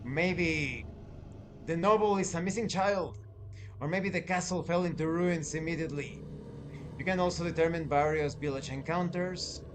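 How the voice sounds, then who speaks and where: A man giving review commentary to viewers as a channel host, speaking deliberately and emphatically in short, separated phrases. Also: fairly high pitch, slight background noise.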